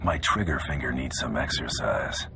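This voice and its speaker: A deep gravelly bounty hunter. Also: male voice, normal speed, soft background music, exaggerated voice. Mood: hushed menace. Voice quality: gravelly, low and hushed. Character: gruff male bounty hunter, cocky and ruthless. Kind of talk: game cutscene voice acting.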